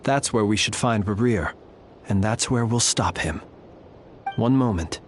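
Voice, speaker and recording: A man playing a composed, analytical warrior ally in a video game, speaking in a measured, formal manner. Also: fairly low pitch, slight background noise, lightly acted. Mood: resolute.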